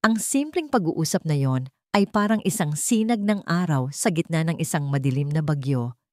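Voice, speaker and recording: A woman's voice: low-pitched, expressive, moderate pace, a little mellow, dry recording, studio quality. A woman narrator reading a dramatic scripted love story. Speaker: narrator of Tagalog love stories.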